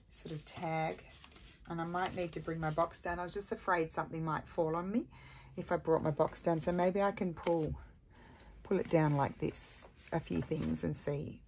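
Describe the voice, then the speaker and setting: Low, scratchy-voiced woman crafting at her desk. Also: steady tone, normal speed. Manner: chatty, self-mocking, thinks aloud while working. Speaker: craft tutorial host. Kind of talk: casual crafting tutorial. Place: indoor craft table. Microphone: overhead camera on a desk.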